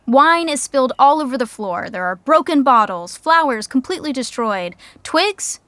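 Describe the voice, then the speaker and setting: A woman's voice: sits high, very animated, moderate pace, a bit bright, no echo. A woman playing the wry narrator of a scripted sitcom.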